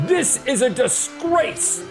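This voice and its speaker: A wrathful, self-important male god of rocks as acted out by an audiobook narrator rants and bellows. The voice jumps well up in pitch and swings widely. Music plays clearly behind it. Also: sing-song, slow pace, full character voice. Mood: furious, indignant. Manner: bellowing, ranting, pompous. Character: furious, haughty male god of rocks, bellowing blasphemy outrage. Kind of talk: dramatic fantasy audiobook character.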